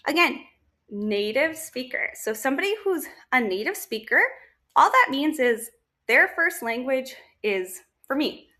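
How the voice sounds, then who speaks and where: A woman's voice: high voice, lively intonation, a little slow, sharp tone. A woman English teacher and native speaker explaining to parents, emphatic and often checking in with "right?". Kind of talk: talking-head explainer to parents.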